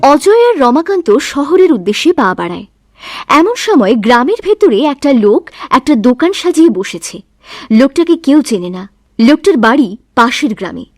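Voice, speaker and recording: A woman narrating a cartoon folk story in a plain, expressive way. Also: high-pitched, moderate pace, no echo, clean studio sound.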